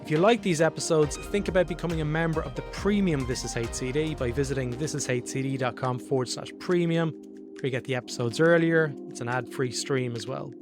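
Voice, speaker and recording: A man's voice: fairly high pitch, a little fast, over music. A man hosting a design podcast, reading an ad and welcoming his guest, with short encouraging replies. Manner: friendly, encouraging with short backchannels. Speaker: podcast host. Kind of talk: podcast interview.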